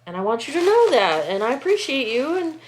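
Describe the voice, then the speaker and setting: A woman's voice: sits high, big pitch swings, brisk, slight background noise, low-quality recording. A woman chatting casually while she packs skincare orders, sniffly and congested from a lingering cold, rambling and often cutting herself off to apologise. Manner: rambling, self-interrupting, apologetic. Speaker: small-business skincare maker. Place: at home, indoors, packing table. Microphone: camera set close by.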